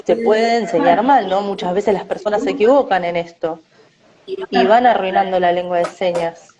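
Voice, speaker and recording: A woman with a Rioplatense Spanish accent giving measured interview answers, often tagging her sentences with a questioning "no?". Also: medium pitch, dull audio, crowd noise. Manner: measured, often adds "no?" tags.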